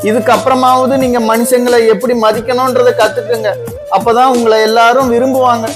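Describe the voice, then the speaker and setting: Comedy skit houseboy, played by a man: deferential, put-upon, earnest, loud music underneath. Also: very high-pitched, flat delivery, a little fast. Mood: hurt, earnest. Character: humble, aggrieved male house servant.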